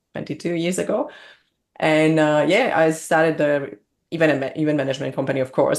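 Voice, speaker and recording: A woman from Slovakia with a Slovak accent, speaking English as a second language as a guest on an interview, bubbly and quick to laugh. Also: low-pitched. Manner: bubbly, laughs often, non-native grammar. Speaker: business coach, guest. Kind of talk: casual interview chat.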